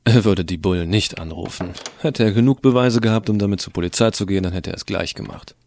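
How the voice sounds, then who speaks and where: A man's voice: lowish voice, fast talker, a little mellow. A man narrating as a sardonic, self-assured teenage hacker in a first-person audio drama, casual and wry. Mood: smug. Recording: clearly audible hiss.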